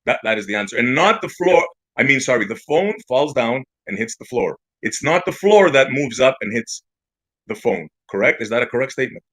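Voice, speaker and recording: Taunting man with a lively, bright voice. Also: mid-range voice, brisk. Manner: taunting, calls others bro. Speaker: debate participant. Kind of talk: heated online debate.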